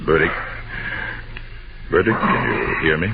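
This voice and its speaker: An actor playing a shrewd, confident master detective with an assured, emphatic delivery in an old-time radio drama. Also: male voice, rumbling low, sing-song, unhurried, creaky, exaggerated voice. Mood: pressing, intent. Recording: noisy, muffled old radio broadcast.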